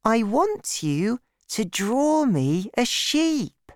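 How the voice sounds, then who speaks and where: A narrator voicing a small boy in a storybook, gentle but insistent, with slow, deliberate and simple speech. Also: mid-range voice, very animated, dry recording, studio quality, pitched above the performer's own voice. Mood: pleading, insistent. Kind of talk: storybook character voice.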